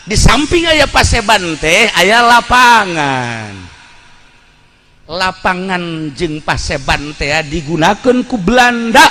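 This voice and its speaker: A man's voice: high-pitched, sharp tone. A man with a Banten Sundanese accent preaching and telling stories in a blunt, colloquial way, repeating phrases for emphasis. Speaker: Islamic preacher. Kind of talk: Sundanese sermon with storytelling. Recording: reverberant hall, background noise.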